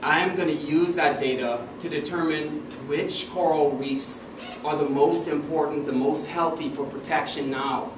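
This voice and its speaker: A man's voice: a little high, steady tone, moderate pace, few pauses. A young man from the Bahamas giving an earnest, emphatic memorized talk as a doctoral student presenter in a university lecture room. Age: young adult. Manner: earnest, emphatic, standing with open gestures. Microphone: far camera, no visible mic. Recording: echoey room from far off, air-conditioning hum.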